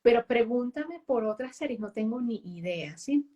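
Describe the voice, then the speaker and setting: A chatty woman guest in relaxed conversation, her voice sitting a bit high. She talks openly, often tucking little tag questions onto the end of her sentences. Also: normal speed, lo-fi sound. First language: Spanish. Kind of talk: casual conversation.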